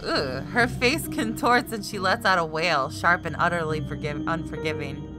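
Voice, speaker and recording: Gamer girl with a lowish voice reading a visual novel out loud, dramatic but casual, loud game music behind. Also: normal speed. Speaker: gaming video host. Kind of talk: let's play: reading visual novel narration aloud with casual asides. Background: game soundtrack.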